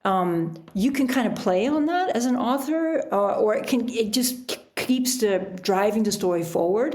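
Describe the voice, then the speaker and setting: A woman's voice: mid-range voice, darkish tone. A middle-aged American woman talking conversationally as an interview guest, explaining things with frequent "you know" asides. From United States. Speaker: podcast guest, author and sailor.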